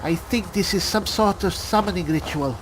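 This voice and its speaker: A man's voice: sits high, normal speed, noisy background. A man giving in-character commentary as he roleplays through a video game. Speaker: gamer narrating a playthrough in character. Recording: loud game sound behind the voice. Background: game sound effects and ambience.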